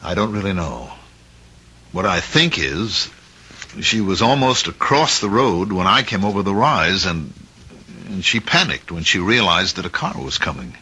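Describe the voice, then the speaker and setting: Earnest witness in old radio drama, deep raspy voice, explains carefully. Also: male voice, sing-song, unhurried, pushed and bright, full character voice. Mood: defensive. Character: earnest male driver testifying carefully at an inquest.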